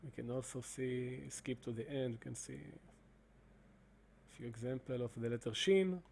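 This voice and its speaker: Guy walking through his software, soft dark voice, lots of uh's and restarts. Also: mid-range voice, vocal fry, slight background noise. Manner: halting, many fillers and restarts. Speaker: presenter demonstrating software. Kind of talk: screen-recorded explanation.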